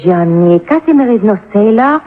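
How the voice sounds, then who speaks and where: Actress as coy foreign femme fatale, tinny radio. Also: female voice, mid-range voice, expressive, moderate pace, lightly acted. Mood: confiding. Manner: coy, playful, broken English, speaks of herself by name. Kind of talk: 1950s radio drama acting. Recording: tinny, narrow-band old radio recording.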